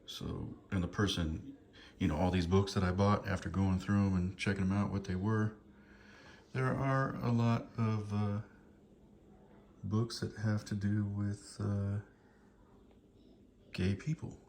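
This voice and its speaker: A man's voice: lowish voice, level tone, unhurried, gravelly, a little mellow. A man in his fifties chatting casually to viewers in a rambling, hesitant, amused and apologetic way. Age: 50s. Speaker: vlogger showing books from a collection. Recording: noticeable background noise.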